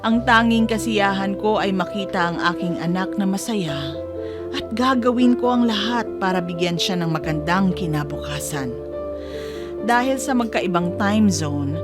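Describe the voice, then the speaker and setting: A woman's voice: mid-range voice, natural voice. Woman acting as homesick Filipino mother narrating. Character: homesick, devoted Filipino mother working abroad as nurse. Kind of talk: first-person audio drama narration.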